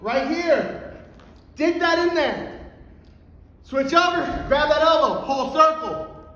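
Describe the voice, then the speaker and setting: High-voiced man coaching in an echoey hall. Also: slow pace. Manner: energetic, brash, rhetorical questions, drills terms by repetition; moving and grappling while talking. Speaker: wrestling clinician. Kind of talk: live coaching demonstration. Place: stage in a large indoor convention hall. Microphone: far camera across the room.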